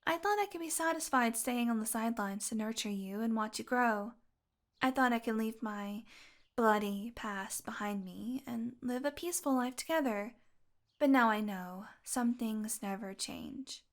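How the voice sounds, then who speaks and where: A woman playing a possessive fantasy healer in an audio roleplay, tender and secretly bloodthirsty, speaking softly and deliberately. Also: on the high side. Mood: wistful confession. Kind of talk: intimate audio roleplay monologue.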